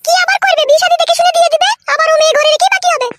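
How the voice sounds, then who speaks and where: A woman voicing a sharp-tongued, quarrelsome village wife in a comedy cartoon. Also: steady tone, rapid-fire, heavy background noise. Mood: nagging. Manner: shrill, cheeky, quarrelsome. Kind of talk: Bengali comedy cartoon dubbing.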